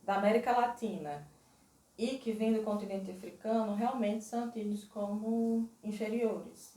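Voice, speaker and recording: A woman from Northeast Brazil with a Northeastern Brazilian accent, answering interview questions in a thoughtful, measured way and stressing some points firmly. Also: medium pitch, vocal fry, warm tone, echoey room, recorded from a distance, poor audio. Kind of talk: interview conversation. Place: Portugal.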